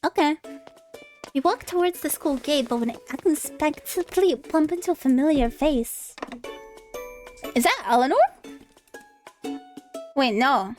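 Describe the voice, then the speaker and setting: A woman with a high voice is streaming a visual novel playthrough. She reads the text aloud with big swings in pitch and expressive, dramatic reactions, over faint background music. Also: measured pace, pauses often. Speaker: streamer, VTuber.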